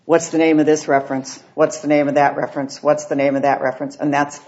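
A woman's voice: low-pitched, level tone, fast talker, a little mellow, a little hiss or hum. Appellate judge, female, pointed skeptical questioning; muffled lo-fi courtroom audio. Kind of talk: questioning from the bench. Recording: dull, lo-fi courtroom recording.

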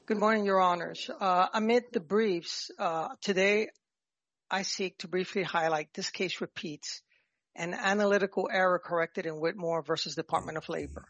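A man with a New York accent giving a formal, halting and nervous oral argument as a petitioner in court. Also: sits high, steady tone, fairly bright tone, no room sound. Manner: halting, nervous, jumping between points. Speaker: petitioner arguing own appeal. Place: appellate courtroom. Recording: dull courtroom microphone, dry sound.

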